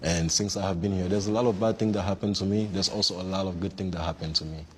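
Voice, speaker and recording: A man from Africa with a very deep, flat voice speaks slowly and carefully, pausing on fillers. A faint noise sits behind him. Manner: measured, hesitant, many fillers. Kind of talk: casual talk.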